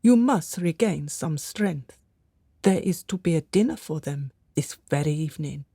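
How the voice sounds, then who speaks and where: A woman's voice: sits low, animated, unhurried, dark tone, husky, dry recording, studio quality, full character voice. An actress playing a pious, devoted lady's maid in a radio drama, fretful and deferential. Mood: fussing, soothing.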